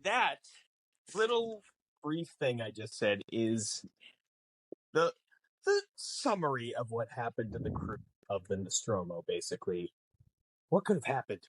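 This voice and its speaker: A man's voice: mid-range voice, very animated, a little slow, long pauses, no room sound, low-quality recording. A man guesting on a podcast, explaining film lore casually with theatrical, mock-exasperated banter.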